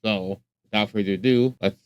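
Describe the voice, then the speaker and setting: A man's voice: fairly low pitch, steady tone, moderate pace, smooth, muffled recording. A man hosting a music reaction video, talking casually, bluntly and with plenty of swearing. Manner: blunt and profane, speaks his mind.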